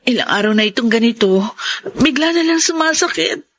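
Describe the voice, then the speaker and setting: A woman's voice: a little high, animated, a little fast, a bit bright. A woman playing a weary, grateful young pregnant wife in a radio drama, with a Filipino accent. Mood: pained.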